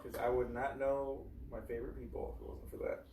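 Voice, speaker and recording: A man's voice: medium pitch, flat delivery, brisk, a crowd in the background. Livestream co-host: American man, mid-range voice, casual question reader, roomy sound with faint noise. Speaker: co-host reading viewer questions. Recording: echoey room, distant mic, faint noise.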